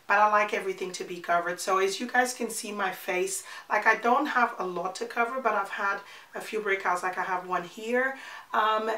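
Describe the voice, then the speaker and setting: A woman's voice: mid-range voice, sharp tone, reverberant. A woman in her forties with a congested, nasal voice from a cold, chatting her way through a makeup tutorial and repeating words for emphasis. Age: 40s. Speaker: beauty vlogger.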